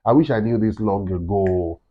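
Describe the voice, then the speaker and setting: A Nigerian man with a deep voice, a campus radio director chatting in an interview and telling stories with many "you know"s. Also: normal speed. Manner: rambling storytelling, frequent "you know". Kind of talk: casual interview chat. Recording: dull lo-fi sound.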